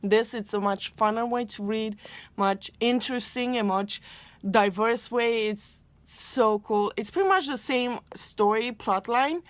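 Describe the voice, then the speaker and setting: A woman's voice: medium pitch, no echo. A young woman from Romania, a native Romanian speaker, chatting casually to camera about books with many fillers and restarts. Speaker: book vlogger.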